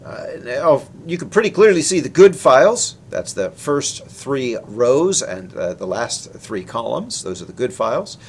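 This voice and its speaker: A man's voice: mid-range voice, very animated, unhurried, hardly any pauses, slight background noise. A man presenting research in a technical lecture, explaining in an informal, conversational way. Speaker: researcher presenting his work.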